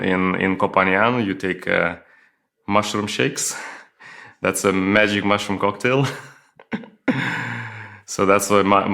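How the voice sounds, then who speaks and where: A middle-aged man from Lithuania, telling his life story as an interview guest with many pauses, fillers and restarts. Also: deep voice, bright, forceful tone. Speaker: guest sharing testimony. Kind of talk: personal testimony in an interview.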